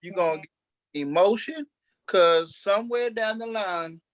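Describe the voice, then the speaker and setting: Guy w high voice, play cast member talking off the cuff, emphatic, repeats himself a lot, dry sound. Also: dry recording. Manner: emphatic, repetitive, halting restarts. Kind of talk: unscripted panel discussion.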